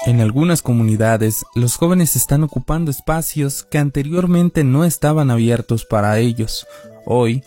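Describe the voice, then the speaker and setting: Male radio reporter, mellow voice, steady scripted narration. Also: mid-range voice, normal speed, faint music behind.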